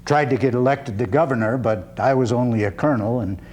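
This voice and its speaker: A man playing a genial sixty-five-year-old agnostic orator and country lawyer of the 1890s in a measured, folksy stage monologue. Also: mid-range voice, darkish tone, a little hiss or hum, natural voice. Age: older. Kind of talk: theatrical one-man-show monologue.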